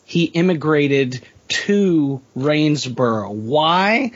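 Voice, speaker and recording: Husky man chatting about a tabletop game. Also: fairly high pitch, lively intonation, very slow, dry recording, lo-fi sound. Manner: informal, jokey, thinking out loud. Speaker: tabletop role-playing game player. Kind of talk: casual chat describing a game character.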